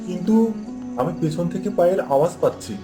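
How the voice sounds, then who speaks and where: A man's voice: high-pitched, lively intonation, a little fast, music in the background. An actor playing a timid, easily spooked young village man in a cartoon, speaking in an anxious, questioning manner. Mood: uneasy.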